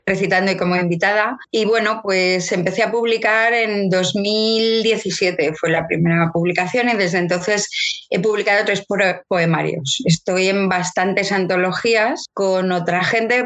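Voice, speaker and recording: A middle-aged woman from Madrid talks as a guest in a radio studio. She speaks at an ordinary middle pitch, relaxed and chatty. She is full of small fillers and restarts. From Madrid, Spain. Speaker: guest poet and writer. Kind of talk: radio interview.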